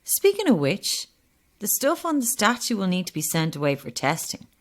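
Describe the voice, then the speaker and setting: An actress playing a skeptical, sharp-tongued journalist in an audio drama, dry and argumentative. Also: female voice, medium pitch, sing-song, moderate pace. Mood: dismissive, irritated. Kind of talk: naturalistic audio drama acting.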